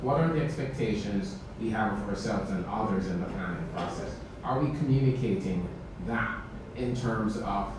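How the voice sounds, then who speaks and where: A man on a panel adding conversational advice for the audience. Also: on the low side. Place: indoor meetup event space with seated audience. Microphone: far camera. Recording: very echoey room, distant microphone, noisy background.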